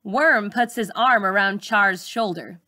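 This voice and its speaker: A woman teacher reading a first-person story aloud to schoolchildren in an expressive, colloquial storytelling manner. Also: medium pitch, measured pace, no room sound, studio quality.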